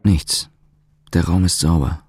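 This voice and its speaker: A man's voice: deep voice, fairly even intonation, slow pace, darkish tone, breathy edge, heavily acted. An actor playing a suspicious, methodical man in a radio drama, questioning someone in terse, controlled phrases. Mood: clipped, wary. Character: suspicious, probing, methodical man interrogating a visitor. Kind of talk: naturalistic radio drama acting.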